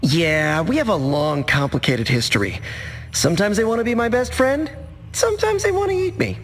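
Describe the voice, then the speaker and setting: Chatty young superhero, high voice, self-deprecating wit, nervy, long reverb, game dialogue. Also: male voice, big pitch swings, moderate pace, a little hiss or hum. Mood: wry, exasperated. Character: wisecracking, anxious young male superhero. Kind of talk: video game character acting.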